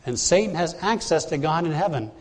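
A man's voice: fairly high pitch, animated, brisk, darkish tone, slight background noise. A man preaching an expository sermon, explaining scripture verse by verse in a plain teaching tone with frequent "uh"s and restarts. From Bahamas.

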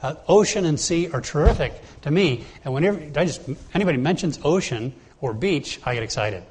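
Man, preacher, slightly high voice, teaching sermon, lots of uh fillers and restarts. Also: expressive, brisk, a little mellow, breathy edge. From Bahamas. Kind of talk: expository sermon on scripture.

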